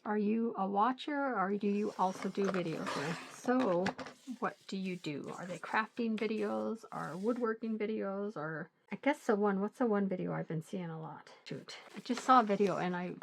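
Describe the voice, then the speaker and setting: A middle-aged woman hosting a crafting channel, a little hoarse, chatting in a relaxed, rambling way while she works. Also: medium pitch, noisy background. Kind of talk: casual chat while crafting.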